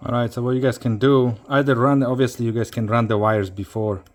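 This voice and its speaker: A man giving a casual hands-on tutorial, speaking haltingly as he thinks aloud while working. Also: medium pitch, flat delivery. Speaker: CB radio hobbyist demonstrating an installation.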